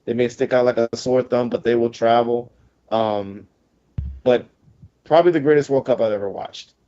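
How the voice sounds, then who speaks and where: A man's voice: medium pitch, fairly even intonation, moderate pace, warm tone. A man co-hosting a sports podcast, chatting casually and enthusiastically, repeating himself as he goes. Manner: enthusiastic, loose and repetitive. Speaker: podcast co-host. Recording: slightly dull lo-fi podcast audio.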